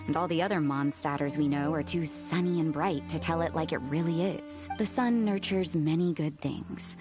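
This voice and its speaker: Aloof, blunt young woman acted by a woman, lowish voice, understated game delivery, faint music behind. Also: moderate pace, close to an everyday voice. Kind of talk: video game voice acting.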